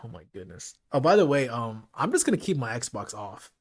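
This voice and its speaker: A man running a late-night live stream, talking casually and directly to his chat, with his voice gone hoarse from hours of talking. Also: medium pitch, big pitch swings, fairly quick. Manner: casual, chatty, talks straight to his chat.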